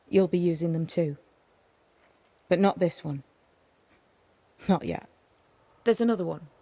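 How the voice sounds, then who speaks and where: A tough outcast woman guide in a game, lowish voice, blunt, wary, no nonsense. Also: warm tone. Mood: firm, instructive. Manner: terse, firm, no-nonsense. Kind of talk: dramatic video game acting.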